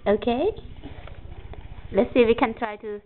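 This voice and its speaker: A woman's voice: fairly high pitch, unhurried. A woman chatting casually with a young child, encouraging and praising as the child reads. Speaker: adult prompting a child reader. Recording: muffled phone-like home video, background noise.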